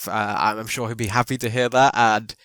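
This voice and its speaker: A man's voice: fairly low pitch, fairly even intonation, brisk, fairly bright tone, clear, resonant tone, no echo. A man hosting a podcast interview in a chatty way, laughing often and using lots of fillers. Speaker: podcast host. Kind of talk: casual interview chat.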